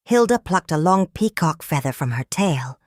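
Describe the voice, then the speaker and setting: A woman reading a children's picture book aloud in a calm, measured, expressive storytelling manner. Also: deep voice, no room sound, studio quality. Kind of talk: children's read-aloud narration.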